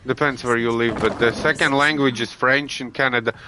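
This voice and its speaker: A man from Latvia with a Latvian accent, streaming a video game and chatting casually with viewers, halting with many restarts and fillers. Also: medium pitch, steady tone, music in the background. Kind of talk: casual gameplay chat with viewers. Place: home gaming setup.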